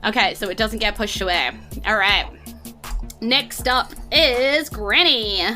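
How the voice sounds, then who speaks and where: Bright-voiced New Zealand woman game master. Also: on the high side, slow pace. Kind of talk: casual tabletop game narration. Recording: music bed behind voice.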